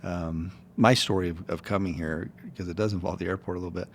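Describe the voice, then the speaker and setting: A man's voice: deep voice, fairly even intonation, fast talker, gravelly, slight background noise. A middle-aged man hosting a podcast interview, talking in a chatty, rambling way with many restarts. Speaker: podcast host.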